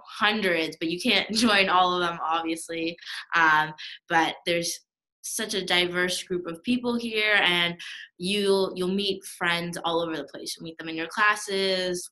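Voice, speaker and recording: A woman's voice: fairly low pitch, pushed and bright, poor audio. A young woman on a student panel, talking in an easygoing way. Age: young adult. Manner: easygoing, laughs between phrases. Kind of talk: Q&A panel answer.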